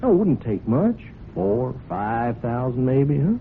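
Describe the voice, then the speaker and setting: Sly folksy blackmailer, swooping delivery. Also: male voice, mid-range voice, big pitch swings, a little slow, creaky. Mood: wheedling. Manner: insinuating, falsely amiable, chuckling, hesitant phrasing. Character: sly, folksy male horse-farm hand turned blackmailer. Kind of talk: radio drama acting. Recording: muffled, noisy old radio broadcast recording.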